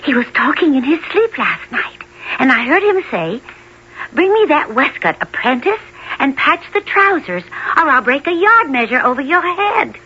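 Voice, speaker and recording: A woman's voice: high-pitched, very animated, normal speed, pushed and bright, full character voice. A woman playing a spoiled, whiny young princess in a radio fairy tale, petulant and melodramatic. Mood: disappointed, whiny. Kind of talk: 1970s old-time radio drama acting. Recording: muffled 1970s radio recording.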